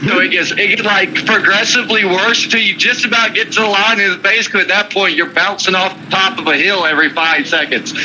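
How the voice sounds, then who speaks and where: A man's voice: high voice, steady tone, brisk, few pauses, noisy background. A man with a Southern American accent, chatting casually and swearing freely while driving. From Southern United States.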